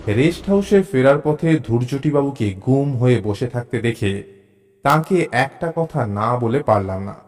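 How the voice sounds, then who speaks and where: A man narrating a suspense story in the first person, with deliberate, dramatic phrasing and pauses. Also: medium pitch, lively intonation, a little mellow. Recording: narration over clear background music. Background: music.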